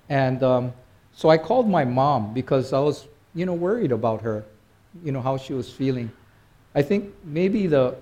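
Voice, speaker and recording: A middle-aged man preaches to his congregation in a loose, conversational way, pausing and restarting his sentences often. His voice is mellow and relaxed, sitting at an ordinary pitch for a man. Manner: halting, conversational, many restarts and fillers. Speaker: pastor. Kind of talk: informal sermon.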